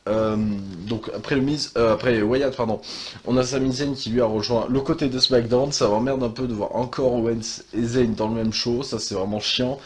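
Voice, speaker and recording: A man's voice: mid-range voice, a little hiss or hum. A young man with a stuffy cold, talking casually and hesitantly about wrestling as a channel host, with many fillers and sighs. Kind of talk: casual commentary monologue.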